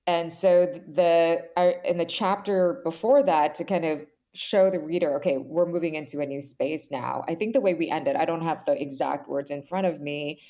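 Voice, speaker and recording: A woman guest author talking candidly in a conversational interview, telling her story in an animated way with lots of "like" and "right?". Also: sits low, moderate pace, few pauses. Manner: animated storyteller, acts out quoted thoughts, says "like" and "right?" often; candid, self-described intense.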